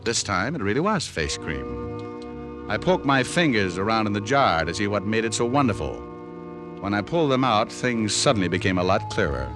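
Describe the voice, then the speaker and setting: Low-voiced wisecracking American detective. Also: male voice. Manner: wry, deadpan wit. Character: wisecracking, cool male private detective narrating his case. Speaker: lead actor and narrator. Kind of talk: old-time radio detective narration.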